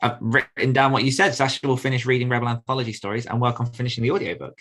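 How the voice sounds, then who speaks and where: A man co-hosts a podcast in easygoing conversation and talks at a quick clip. He is chatty and teasing, with plenty of fillers like "like" and "sort of". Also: mid-range voice, level tone, a bit bright. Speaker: podcast co-host. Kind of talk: casual chat.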